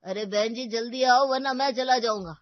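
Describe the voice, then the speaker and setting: A woman voicing a gruff, impatient male school bus driver for a cartoon, blunt and grumbling. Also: fairly high pitch, moderate pace, dry recording, voice pushed up, natural voice. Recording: dull, muffled audio.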